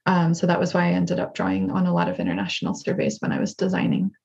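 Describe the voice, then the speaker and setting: A woman, a native English speaker, explaining her survey research as a guest on a video call in a thoughtful, steady way. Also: rumbling low, quick pace, vocal fry, slightly rough, dull audio.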